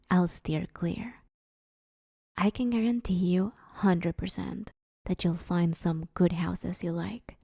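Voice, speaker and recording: A woman's voice: lowish voice, gravelly, dark tone, no echo, clean studio sound. A woman playing an awkward, broke but friendly supervillain neighbor in a roleplay audio drama, rambling casually and hesitantly as she angles for favors. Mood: confident salesmanship.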